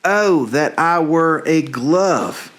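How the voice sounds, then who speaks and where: An ardent young lover acted out by a man declaims romantic stage verse in a dreamy, halting way. The pace is slow and the pitch sweeps widely. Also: expressive, fairly bright tone, heavily acted. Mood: rapturous.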